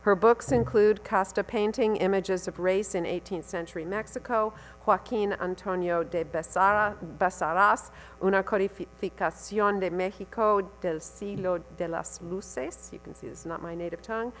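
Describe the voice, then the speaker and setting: A woman's voice: medium pitch, normal speed, hardly any pauses, a little hiss or hum, poor audio. A woman hosting a symposium session, reading a speaker's bio aloud with stumbles, fillers and wry asides. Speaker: symposium host introducing the speaker. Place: lecture hall at a symposium.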